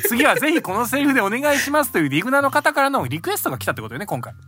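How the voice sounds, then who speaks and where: A middle-aged man with a Kansai accent bantering on a podcast. His voice is high and bright, and it swings widely as he teases and laughs. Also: very animated, racing, faint music behind. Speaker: podcast co-host. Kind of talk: casual chat.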